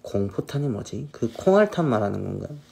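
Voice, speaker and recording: A man in his thirties chatting casually on a phone live stream, answering viewers' questions in a relaxed, easygoing way. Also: fairly low pitch, a little fast, gravelly, breathy edge. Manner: relaxed, answers viewers' questions, drifts between snacks and hobbies. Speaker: singer hosting a live stream. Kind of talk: casual live-stream chat reading comments.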